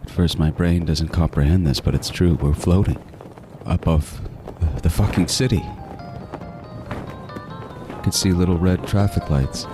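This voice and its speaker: A man's voice: sits low, fairly even intonation, measured pace, long pauses. A man playing a crude, sardonic serial killer who narrates his own hunt in a horror audio drama, with intense, profane, wisecracking delivery. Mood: bewildered. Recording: music behind the voice. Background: music.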